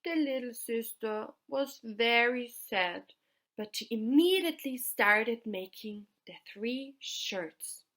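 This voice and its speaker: A woman telling a fairytale in a halting, deliberate way, pausing between short groups of words. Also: on the high side, animated, a bit bright. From Austria. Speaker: storyteller. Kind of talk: slow fairytale storytelling.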